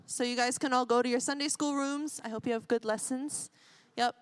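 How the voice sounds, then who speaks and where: A woman emcees a church service, giving brisk, friendly announcements. Her voice sits slightly high. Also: steady tone, clean tone. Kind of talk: casual announcement.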